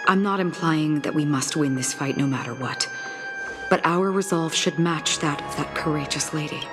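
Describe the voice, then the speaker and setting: A woman voicing a solemn, enigmatic swordswoman in a video game, speaking in a measured, formal and grave way. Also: low voice, natural voice. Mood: solemn, encouraging. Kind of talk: dramatic video game dialogue. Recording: game music behind the voice.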